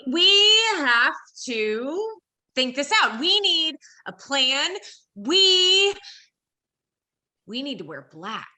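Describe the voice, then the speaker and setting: A woman's voice: sits high, sing-song, drawn-out words, sharp tone, exaggerated voice. A woman playing an exasperated, sensible, argumentative woman in a comedic stage play reading, protesting with hesitant starts. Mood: hesitant, reasoning. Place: indoors, remote video call.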